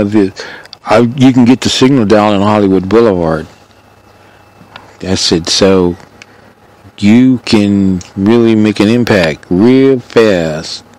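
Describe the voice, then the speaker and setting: Older american guy hosting his show, kinda low voice, just rambling through a story all casual. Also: a little mellow. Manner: rambling, conversational, re-enacts dialogue. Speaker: radio show host.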